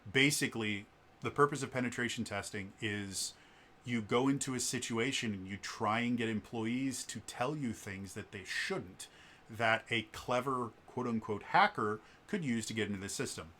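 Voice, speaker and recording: A man with a bass voice talking through a casual vlog commentary, explaining things in a chatty way with many fillers and a stutter on repeated sounds. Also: normal speed, pushed and bright. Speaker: vlogger.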